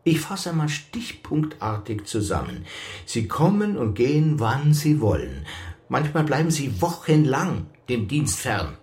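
An exasperated Bavarian police chief in a radio crime play. His mid-pitched voice swings up and down as he scolds and reproaches in an officious way. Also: big pitch swings, unhurried, a little mellow. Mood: indignant, reproachful. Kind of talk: radio crime drama acting.

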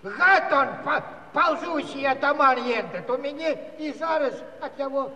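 Cranky old grandad, super high sharp voice, big reverb, theatrical. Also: male voice, a little slow, highs cut off, exaggerated voice. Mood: indignant. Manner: agitated, folksy dialect grumbling. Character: indignant old Cossack grandpa, aggrieved and meddlesome.

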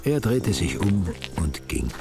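An actor as a wry, ironic storyteller narrating a radio drama. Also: male voice, fairly low pitch, sing-song, slow, gravelly, full character voice. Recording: loud music behind.

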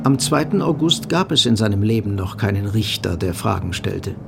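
Gravelly male literary narrator. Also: lowish voice, lively intonation, moderate pace, music in the background. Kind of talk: scripted literary narration for radio drama.

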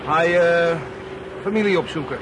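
An actor playing a chatty, blunt, nosy lorry driver in a radio drama. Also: male voice, fairly high pitch, moderate pace, close to an everyday voice. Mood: chatty, prying. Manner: jovial, brash, prying. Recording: clear vehicle noise behind dialogue.

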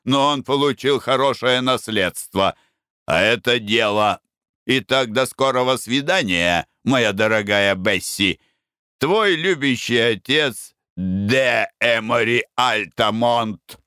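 A man voicing a boastful older father in a chatty letter, read in a clear, smooth voice. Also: mid-range voice, slow pace, bright, forceful tone, dry recording, clean studio sound. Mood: jovial.

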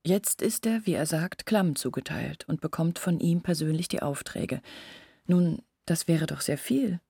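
A woman's voice: deep voice, measured pace, vocal fry, warm tone, husky, dry recording, full character voice. An actress playing an anxious, confiding young village woman in a literary radio drama, explaining her doubts earnestly and intimately. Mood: brooding, doubting.

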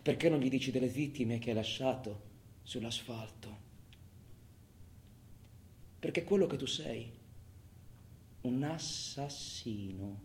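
A man playing a cocky, menacing robber who taunts his partner in crime in a theatrical monologue, swinging between loud bragging and quiet menace. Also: medium pitch, slow pace, long pauses. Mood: goading, accusing. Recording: echoey room, faint background noise.

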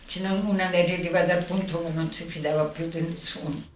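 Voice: mid-range voice, little pitch movement, quick pace. An elderly person, recalling things in spontaneous spoken testimony. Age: 70s or older. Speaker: eyewitness recalling wartime. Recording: distant, echoey, slightly noisy interview.

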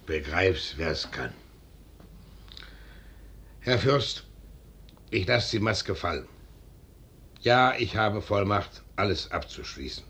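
An actor playing a guarded, stern Swedish envoy colonel in a classic German radio play, declaiming formally. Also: male voice, sits low, lively intonation, fairly bright tone, slightly rough, full character voice. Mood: firm, insistent. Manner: formal, measured declamation, rising to stern insistence.

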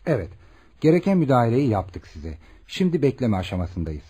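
A man's voice: mid-range voice, big pitch swings, fairly quick, warm tone, husky. A man playing an attentive, polite hospital doctor in a radio drama, questioning and explaining in a formal, courteous way. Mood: gentle, probing. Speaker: actor. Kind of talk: Turkish radio theatre acting.

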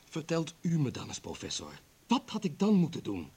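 Husky, wounded, swooping outcast doctor. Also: male voice, fairly high pitch, huge pitch swings, moderate pace, warm tone, full character voice. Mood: defensive, aggrieved. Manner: impassioned, aggrieved. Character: proud, wounded male doctor, a lonely outcast ex-convict. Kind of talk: Dutch radio play acting.

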